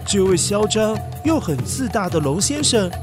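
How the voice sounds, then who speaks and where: A woman's voice: mid-range voice, lively intonation, normal speed, music in the background. A woman telling a scripted story to young children, speaking expressively and addressing them directly.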